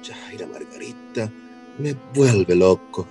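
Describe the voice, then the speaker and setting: An actor playing a smitten Italian immigrant lover in a radio soap opera, speaking romantically and effusively with an Italian accent. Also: male voice, fairly low pitch, takes it slowly, warm tone, faint music behind. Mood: enraptured, tender.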